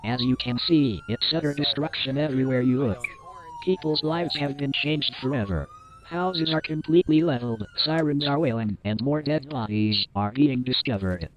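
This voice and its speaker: A man's voice: medium pitch, normal speed, muffled recording. A man giving a scripted breaking news report as a reporter. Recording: loud music behind the voice. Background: loud music.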